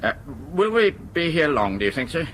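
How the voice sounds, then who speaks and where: An actor in a radio drama, playing a respectful, practical airline crewman with a brisk, eager manner. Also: on the high side, very animated, a bit bright, a little hiss or hum, low-quality recording. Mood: brisk, helpful.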